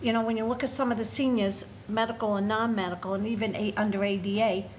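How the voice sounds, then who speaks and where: An older woman with a New York accent raises her concerns at a public meeting. She speaks in an earnest, halting way, full of fillers and restarts, at a mid pitch. A faint hiss sits under her voice. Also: steady tone, low-quality recording. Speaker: alderman on a city committee.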